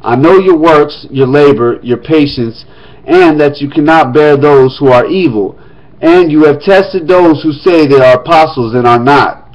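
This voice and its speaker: A man reads scripture aloud in a steady, measured way. His voice sits somewhat high for a man. The recording is muffled and lo-fi, and he drops into quick, easy asides to his listeners between passages. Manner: steady reading broken by quick, casual spoken asides. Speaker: scripture reader. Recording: dull lo-fi recording.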